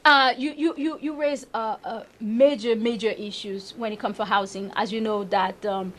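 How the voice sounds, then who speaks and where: A middle-aged Haitian-accented woman speaks with a fairly high voice. She is eager and animated as a guest on an interview, and she often restarts on small words while she finds her phrasing. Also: moderate pace, a little hiss or hum. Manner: enthusiastic, repeats words when searching for them. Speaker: councilmember guest. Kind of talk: interview conversation.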